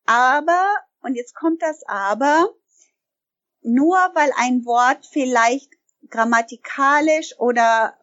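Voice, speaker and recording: A woman's voice: high-pitched, takes it slowly, a bit bright, muffled recording. A bilingual woman who owns a language school, answering interview questions in an emphatic way and often correcting herself mid-sentence. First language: bilingual German and English.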